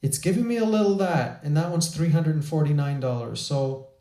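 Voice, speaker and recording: A man's voice: a little high, fairly quick, mellow. A man hosting a gear review, talking in an enthusiastic, chatty way with small restarts, recorded on a shotgun microphone. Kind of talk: product review talk.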